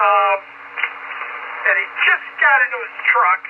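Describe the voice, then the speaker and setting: High-voiced man on tinny ham radio. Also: expressive, measured pace. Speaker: amateur radio operator. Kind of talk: casual ham radio chat, relaying between stations. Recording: tinny shortwave radio transmission with static.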